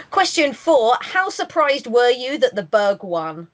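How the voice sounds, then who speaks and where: Giggly woman hosting a podcast. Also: a little high. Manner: giggly, chatty, playful. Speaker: podcast co-host. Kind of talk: casual podcast chat.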